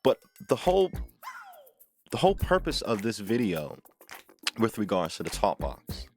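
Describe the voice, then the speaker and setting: Man, lowish voice, informal tutorial host, emphatic, repetitive phrasing, music behind. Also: big pitch swings, unhurried. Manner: emphatic, repeats phrases, seeks agreement with "right?". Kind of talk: informal instructional talk to camera.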